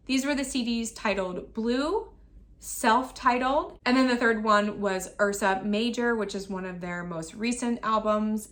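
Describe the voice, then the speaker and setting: A woman in her thirties who is losing her voice and sounds raspy, running through her online resale sales in a matter-of-fact, item-by-item way. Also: mid-range voice, fairly bright tone. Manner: itemized, matter-of-fact listing. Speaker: online reseller. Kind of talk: sales recap vlog.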